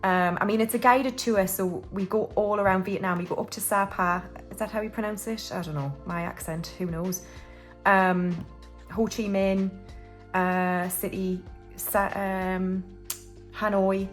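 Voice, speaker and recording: A woman's voice: mid-range voice, steady tone, measured pace, soft background music. Chatty British woman in her forties. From United Kingdom. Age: 40s. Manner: chatty and rambling, with frequent restarts and fillers. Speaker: budgeting vlogger. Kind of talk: casual chat while stuffing cash envelopes.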